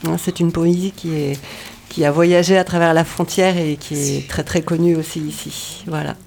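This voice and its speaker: A woman's voice: sits low, steady tone, moderate pace, vocal fry, a little mellow, a little hiss or hum. A woman speaking fluent French who adds a short explanatory note for the group.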